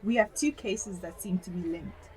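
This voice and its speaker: A woman's voice: medium pitch, normal speed, natural voice. A woman acting a matter-of-fact police detective who takes charge, in a radio drama. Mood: businesslike. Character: businesslike female police detective.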